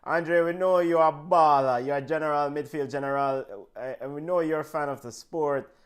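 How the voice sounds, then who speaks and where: A man hosting a sports talk show, brisk and upbeat, keeping things moving against the clock. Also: on the high side, clear, resonant tone. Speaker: host.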